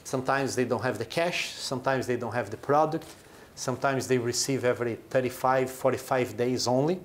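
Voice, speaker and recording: A man's voice: mid-range voice, a little fast, slight background noise. A man in his forties from Brazil, a company chief explaining his business in an interview, with short emphatic phrases. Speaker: chief executive of a brewing company.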